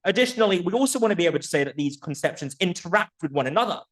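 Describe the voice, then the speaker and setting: A man's voice: high-pitched, expressive, rapid-fire, a bit bright. A man presenting an academic book talk in an articulate, erudite manner, posing rhetorical questions and often checking in with the listeners.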